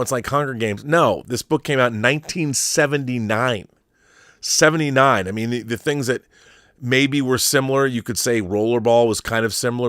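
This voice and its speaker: A man's voice: fairly low pitch, a bit bright, no room sound, clean studio sound. A middle-aged American man hosting a casual, opinionated livestream, rambling with enthusiasm and emphasis. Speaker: YouTube show host and filmmaker.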